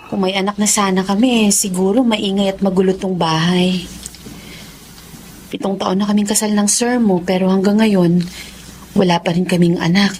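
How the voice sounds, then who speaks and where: An actress playing a kindly, emotional married employer in a drama, familiar and expressive, with a Filipino accent. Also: female voice, medium pitch, slight background noise, close to an everyday voice. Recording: faint background ambience.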